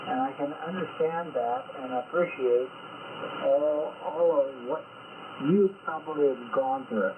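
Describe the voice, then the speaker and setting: An older man hosting a casual radio chat, polite and thoughtful, pausing often as he recalls his past. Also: mid-range voice. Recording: tinny radio transmission with hiss.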